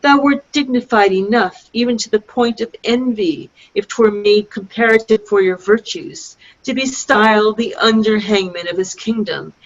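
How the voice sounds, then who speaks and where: A woman reading a proud, sharp-tongued young princess in an amateur Shakespeare play, in measured formal verse, heard over a dull lo-fi video call. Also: medium pitch, close to an everyday voice. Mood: indignant.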